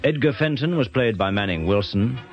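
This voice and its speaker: A man's voice: medium pitch, expressive, normal speed, lo-fi sound, faint music behind. A British man reading formal scripted credits as a radio announcer.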